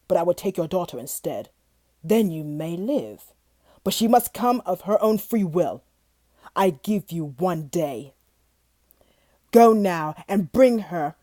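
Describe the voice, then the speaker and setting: Storybook beast, huge and clawed, growls and roars, low and dark, as a woman reads it. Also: dry recording. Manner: growling, roaring.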